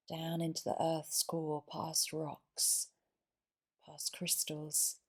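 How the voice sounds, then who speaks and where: Slow, low-pitched woman guiding meditation. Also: breathy edge. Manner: soothing, measured, deliberate pauses between short phrases. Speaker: yoga nidra guide. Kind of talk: guided meditation narration.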